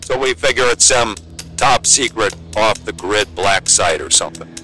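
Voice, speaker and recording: A man's voice: lowish voice, lively intonation, moderate pace, sharp tone, soft background music. A man playing a sceptical, worried friend in a drama trailer, blunt, colloquial and urgent.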